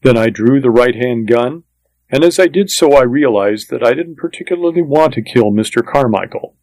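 A man's voice: medium pitch, lively intonation, normal speed, darkish tone, dry recording, poor audio. A man reading a first-person western story as scripted audiobook narration, in a plain, matter-of-fact manner.

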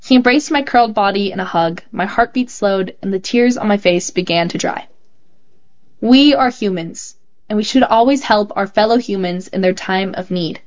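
A woman reading a child's essay aloud as scripted narration, in a clear and measured storytelling manner. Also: medium pitch, lo-fi sound. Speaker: host reading children's stories.

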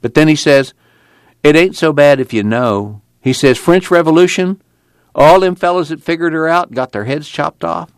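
A man putting on an excited preacher drawl. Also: on the high side, normal speed, clean tone, dry recording, voice pushed up, lightly acted. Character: earnest fiery male preacher, middle-aged.

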